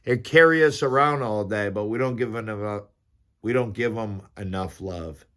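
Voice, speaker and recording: A man's voice: on the low side, moderate pace, a bit bright. A man in his fifties giving casual motivational fitness talk, speaking in an informal, blunt and encouraging way with slangy turns of phrase. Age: 50s. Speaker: fitness vlogger. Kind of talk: casual motivational talk to camera during a morning workout.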